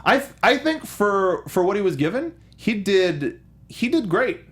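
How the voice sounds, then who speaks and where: A man co-hosting a movie show, giving conversational commentary in an animated way and acting out imagined quotes. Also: sits high, a little slow. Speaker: co-host of a movie discussion show.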